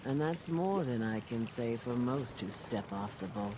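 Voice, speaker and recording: An actress playing an old, weary island widow in a video game, telling things in a solemn, folksy, deliberate way with a sly streak. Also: female voice, extremely low pitch, lively intonation, noisy background. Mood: defensive, reflective. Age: older. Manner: slow, deliberate, folksy storytelling. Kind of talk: dramatic video game dialogue. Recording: loud music over the voice.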